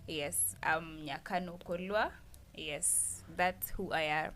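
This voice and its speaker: Relaxed young Kenyan woman guest. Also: lowish voice, takes it slowly, slight background noise. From Nairobi, Kenya. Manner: relaxed, answers with brief affirmations. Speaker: podcast guest. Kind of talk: casual conversation.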